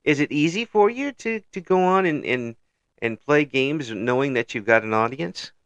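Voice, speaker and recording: A man's voice: on the high side, lively intonation, normal speed, fairly bright tone, no room sound. Easygoing male interview host. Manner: easygoing, chuckles often, restarts phrases. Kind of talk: casual interview chat.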